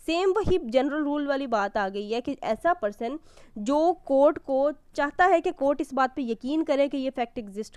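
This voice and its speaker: Woman, high pitch, dry close sound, didactic law lecture. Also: darkish tone, clean tone, no room sound. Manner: didactic, checks understanding with rhetorical questions. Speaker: law teacher. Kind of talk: explanatory lecture, reading statutes and explaining them.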